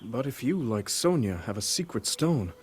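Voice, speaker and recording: A man voicing a calm, dignified ancient king in a video game, speaking in a measured, formal way. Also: medium pitch, a little hiss or hum, close to an everyday voice. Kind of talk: video game cutscene voice acting. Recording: faint music behind.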